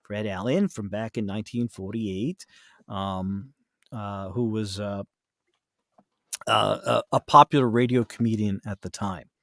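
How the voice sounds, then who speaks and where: A man's voice: lowish voice, unhurried, fairly bright tone, dry recording. Man telling anecdotes in casual chat, dry sound. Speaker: podcast guest, voice actor.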